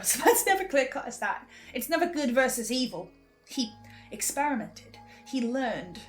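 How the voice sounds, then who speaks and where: High-pitched woman giving a pensive recap. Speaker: host of a fan recap series. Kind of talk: dramatic investigative recap monologue. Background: music.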